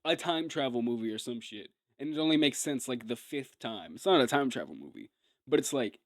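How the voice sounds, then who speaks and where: Slangy male streamer, swinging pitch, dry sound. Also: lively intonation, a little fast, dry recording. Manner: rambling, slangy, profane. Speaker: streamer reacting to a video. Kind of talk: casual live reaction commentary.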